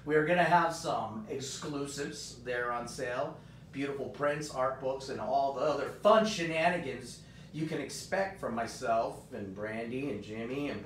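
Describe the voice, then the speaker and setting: A man, host of a live comic shop stream, chatting upbeat and rambling with many fillers, his voice hoarse and partly gone. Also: recorded from a distance. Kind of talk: casual live-stream chat. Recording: roomy, off-mic, faint rumble.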